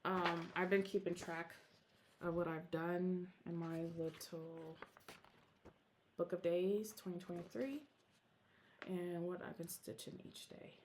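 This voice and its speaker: A woman's voice: lowish voice, fairly even intonation, takes it slowly, slight background noise. A woman whose first language is Korean, chatting at home about her stitching projects in a rambling, self-interrupting way. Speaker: Flosstube vlogger. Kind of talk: casual show-and-tell vlog.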